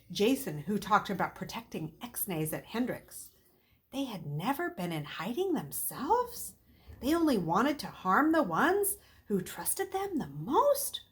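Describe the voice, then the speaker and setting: A woman reading a children's novel aloud as a storyteller, with dramatic, emphatic delivery. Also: medium pitch.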